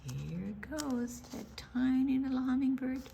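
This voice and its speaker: An American woman reading a picture book aloud for young children, with dramatic pauses, hushed asides and emphatic delivery. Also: high voice, lively intonation, vocal fry, a little hiss or hum. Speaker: children's storytime reader at a library.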